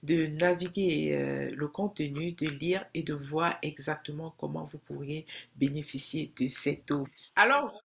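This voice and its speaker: A woman's voice: deep voice, a little slow, vocal fry, a little hiss or hum. A woman talking straight to her viewers in a casual explainer video, walking through each point step by step. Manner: chatty, explains step by step, addresses viewers directly. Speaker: video creator.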